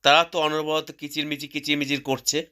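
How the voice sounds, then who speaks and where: Bright-voiced male cartoon storyteller. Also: a little high, little pitch movement, fairly quick, clean tone. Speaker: narrator. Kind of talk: scripted cartoon story narration.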